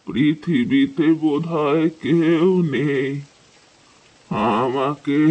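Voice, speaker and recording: A man playing a sorrowful, kindly old beggar woman in a children's cartoon, with a drawn-out, plaintive delivery. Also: fairly high pitch, dull audio.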